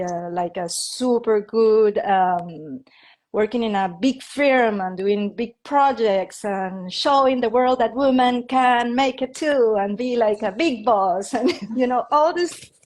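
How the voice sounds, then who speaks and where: A woman's voice: medium pitch, a little mellow. Chatty Colombian woman in her fifties. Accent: Colombian Spanish. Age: 50s. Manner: rambling, with frequent "like" fillers and light laughs. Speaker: interview guest, feng shui and Reiki practitioner. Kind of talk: casual interview storytelling.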